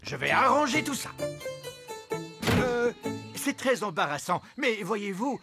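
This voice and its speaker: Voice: mid-range voice, big pitch swings, slow. An actor playing a flustered, well-meaning fairy king and father in a children's cartoon, hesitant and blustering. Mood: embarrassed, apologetic. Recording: music behind the voice.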